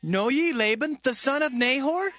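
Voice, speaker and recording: Man playing a bright, earnest young biblical herdsman. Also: extremely high pitch, lively intonation, normal speed, no room sound, full character voice. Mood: friendly, inquiring. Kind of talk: dramatized scripture acting.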